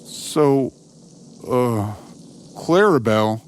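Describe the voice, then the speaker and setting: A man voicing an anxious, grieving bug catcher in audio fiction, wistful, unsure and halting. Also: mid-range voice, animated, a little hiss or hum, pitched above the performer's own voice. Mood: hesitant, guilty. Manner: halting, tentative. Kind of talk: audio fiction character acting.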